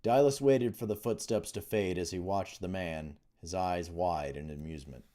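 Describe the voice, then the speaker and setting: A man narrates a fantasy novel in a low register. His tone is mellow and soft-edged, delivered as scripted storytelling by an author. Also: moderate pace. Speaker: author reading own book. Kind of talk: audiobook narration of fantasy fiction.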